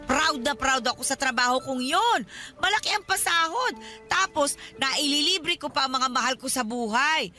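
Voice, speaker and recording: A shrill, wheedling old Filipina godmother. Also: expressive, moderate pace, bright, forceful tone, soft background music. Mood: nosy, boastful. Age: older. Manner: wheedling, dramatic, reproachful. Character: elderly, nosy, guilt-tripping, money-grasping Filipina godmother. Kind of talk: radio drama acting.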